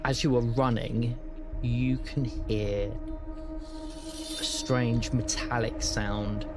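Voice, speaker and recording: A British man narrating as game master of a tabletop game, measured and slowing for suspense. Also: mid-range voice, steady tone. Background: loud music.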